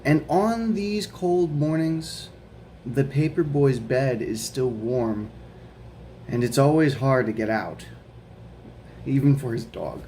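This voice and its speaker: A man reading a picture book to children at an unhurried, deliberate pace, his voice sitting at an ordinary middle pitch. He pauses now and then to chat and ask his young listeners questions. A faint hiss of background noise runs under the recording. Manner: slow, gentle storybook reading with questions to kids. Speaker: guest reader for children.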